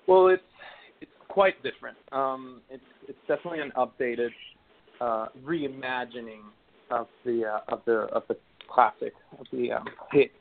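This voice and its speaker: A man's voice: medium pitch, big pitch swings, moderate pace, gravelly, a little hiss or hum. A man in his thirties from Venezuela, with a Venezuelan Spanish accent, answering interview questions in a hesitant way with many fillers and restarts. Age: 30s. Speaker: actor guest. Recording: tinny phone line.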